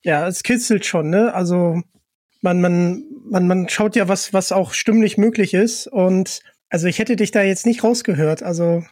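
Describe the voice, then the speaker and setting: High-voiced man hosting a podcast. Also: normal speed, darkish tone, no echo, clean studio sound. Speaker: podcast host. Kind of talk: casual interview chat.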